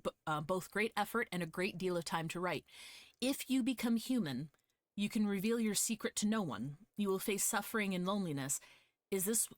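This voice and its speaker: A woman's voice: medium pitch, no echo, clean studio sound. A woman livestreaming a game, reading its text aloud and adding casual, hesitant commentary.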